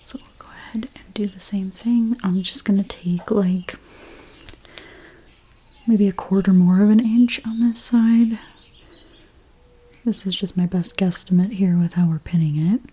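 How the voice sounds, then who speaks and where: Soft, breathy woman in ASMR role play. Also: mid-range voice. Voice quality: soft, breathy, often dropping to a whisper. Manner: gentle, unhurried, reassuring explanations to a client. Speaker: ASMR creator role-playing a dressmaker at a fitting.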